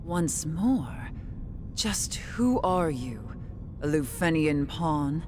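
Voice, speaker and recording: A low female voice for a cool, suspicious warrior in a video game. She speaks slowly and skeptically, weighing things. There is clear rumbling noise behind her. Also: animated, exaggerated voice. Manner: measured, skeptical. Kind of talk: video game cutscene acting.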